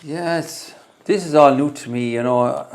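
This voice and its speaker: A man's voice: a little high, measured pace, darkish tone. An Irish man, a guest, talking earnestly and emphatically in a casual chat. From Ireland. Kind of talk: casual conversation.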